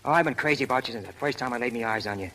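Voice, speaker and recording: An actor playing a brash, hot-tempered but lovestruck prizefight manager, talking in rough street slang in 1930s radio style. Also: male voice, mid-range voice, racing, bright, forceful tone, poor audio. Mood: bashful, dejected. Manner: tough, slangy, ungrammatical street talk. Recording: old 1930s radio broadcast recording.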